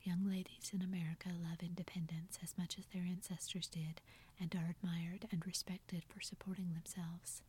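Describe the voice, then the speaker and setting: A kind young male tutor speaks in a gentle, breathy whisper, as a woman reads his part. His voice is low and even, inviting and encouraging. This is a soft-spoken storybook reading. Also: moderate pace.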